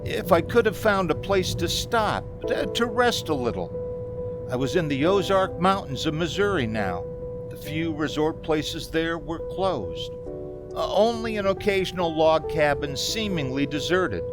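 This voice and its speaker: A man playing a haunted, frightened driver who recounts an eerie road journey in a halting, stammering radio-drama style. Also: a little high, expressive. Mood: weary, obsessed. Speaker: amateur community theater actor. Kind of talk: dramatic first-person radio play narration. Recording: music under the voice.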